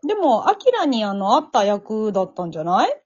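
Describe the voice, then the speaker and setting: A woman hosting a casual after-show chat in an animated, encouraging way. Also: a little high, a little fast. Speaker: host of amateur drama troupe's after-talk. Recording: dull lo-fi audio.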